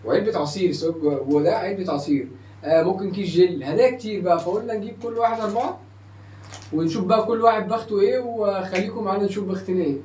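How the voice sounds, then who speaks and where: A young Egyptian man hosting a casual unboxing vlog, chatting to the camera in an excitable, boastful, emphatic way. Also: high-pitched, racing. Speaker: vlog host. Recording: distant roomy mic with faint noise.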